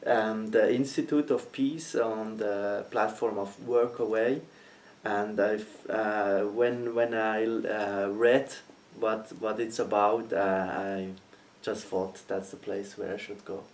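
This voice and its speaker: Slow, hesitant young man from Switzerland. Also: lowish voice, steady tone, a little mellow, a little hiss or hum. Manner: hesitant, searching for words, many ums. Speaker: guest interviewer, student. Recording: voice heard from a distance.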